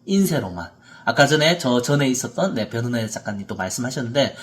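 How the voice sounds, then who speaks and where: A man in his thirties giving an earnest, emphatic motivational seminar talk as a speaker and coach. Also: mid-range voice. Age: 30s.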